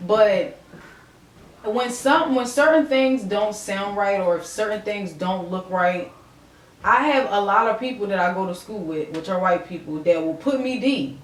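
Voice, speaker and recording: A woman's voice: mid-range voice, a little slow, slight background noise. A young woman chatting casually as a podcast host, candid and slangy with plenty of swearing. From Philadelphia, US. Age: young adult. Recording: echoey room, distant mic.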